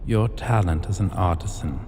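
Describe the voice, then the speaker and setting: A man playing an imperious, possessive dragon king in an ASMR fantasy roleplay, seductive and menacing, with a formal, commanding and deliberate delivery. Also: sits low, a little mellow, natural voice. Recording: long cavernous reverb, clear background noise.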